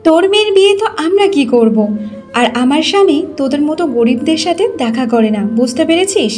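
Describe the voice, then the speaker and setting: A woman's voice: high voice, animated, brisk, a little mellow, soft background music. A woman voicing a haughty, bossy, stingy young daughter-in-law in a cartoon, scolding and commanding with contempt. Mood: scornful, annoyed.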